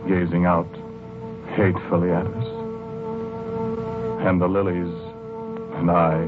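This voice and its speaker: Low-voiced, dreamy husband, old radio. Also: male voice, flat delivery. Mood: dreamy, wistful. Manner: halting, wistful, musing. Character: dreamy, timid husband obsessed with his lilies. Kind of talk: 1940s radio drama acting, first-person narration and dialogue. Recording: muffled old radio recording, music behind.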